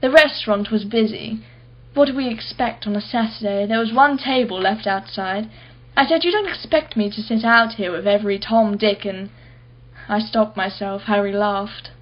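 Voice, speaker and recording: A woman's voice: mid-range voice, normal speed, lo-fi sound, natural voice. An actress playing an imperious, wealthy businesswoman in a radio play, guarded and impatient, speaking in a clipped, formal way. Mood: wistful, reflective.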